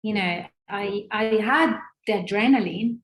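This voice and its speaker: Brief: woman, 40s, Italian accent, mid pitch, halting casual interview answers, roomy sound. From northern Italy. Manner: hesitant, many fillers and restarts. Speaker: interview guest, former ballerina. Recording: roomy echo.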